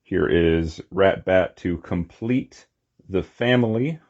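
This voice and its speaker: A man with a low-pitched voice talks through a hands-on review in a casual, unscripted way. He rambles and murmurs to himself as he goes. The recording is a little dull and lo-fi. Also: takes it slowly, a little mellow. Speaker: toy collector reviewing figures.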